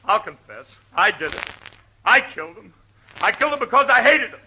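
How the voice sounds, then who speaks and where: A man's voice: sits high, big pitch swings, brisk, sharp tone, full character voice. A man playing an indignant quack doctor in a melodramatic 1940s radio comedy, on an old broadcast recording. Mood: hysterical confession. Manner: melodramatic, theatrical. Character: indignant quack doctor, a secret lover and murder suspect. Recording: noisy, clicking 1941 radio broadcast recording.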